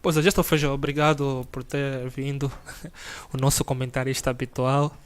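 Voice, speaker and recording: A man's voice: mid-range voice, little pitch movement, normal speed, clean tone. A man hosting a sports interview show, friendly, laughing often and using slang. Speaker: news show host interviewing.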